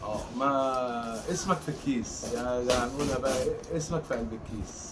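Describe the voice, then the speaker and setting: A man's voice: mid-range voice, brisk. A man with an Egyptian Arabic accent co-hosting a family vlog, chatting casually to viewers. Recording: distant mic, loud background noise.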